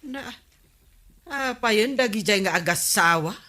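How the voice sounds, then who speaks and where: A woman's voice: medium pitch, expressive, a little slow, bright, forceful tone. A woman acting a sensible, gossiping Filipino village wife who complains dryly in a comedy radio drama. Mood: gossipy.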